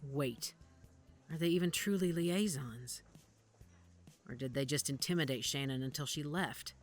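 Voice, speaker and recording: A woman acting in an audio drama as a guarded, worried werewolf investigator who speaks gravely and deliberately. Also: sits low. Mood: grim, alarmed. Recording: music under the dialogue.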